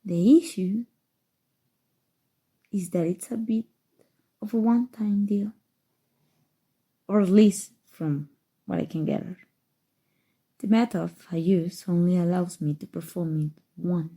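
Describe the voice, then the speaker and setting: Female voice actor as an obsessive sorceress, seductive, very soft dark tone, creaky low edges, intimate ASMR delivery, dramatic pauses. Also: fairly even intonation, exaggerated voice. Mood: grave, measured. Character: possessive evil sorcerer woman, calm, seductive, obsessive. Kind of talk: ASMR roleplay, scripted acting.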